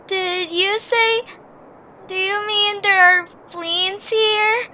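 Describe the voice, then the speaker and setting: A woman's voice: very high voice, fairly even intonation, drawn-out words, bright, forceful tone, full character voice. A woman voicing a small, timid, earnest young adventurer in an audio drama. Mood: hesitant, curious. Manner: hesitant, earnest. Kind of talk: cartoonish audio drama acting. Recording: faint background noise.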